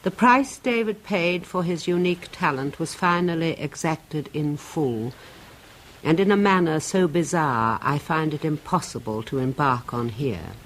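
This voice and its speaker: A grieving widow. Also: female voice, low-pitched, a little slow, poor audio. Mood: earnest. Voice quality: earnest, restrained emotion. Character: grieving woman, the dead man's widow. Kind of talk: 1970s radio drama acting.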